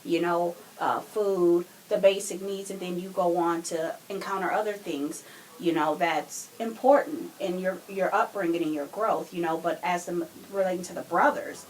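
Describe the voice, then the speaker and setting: A woman's voice: lowish voice, fairly even intonation, normal speed, low-quality recording. Reflective woman podcaster, faint background noise. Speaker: podcast co-host. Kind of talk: casual true crime discussion.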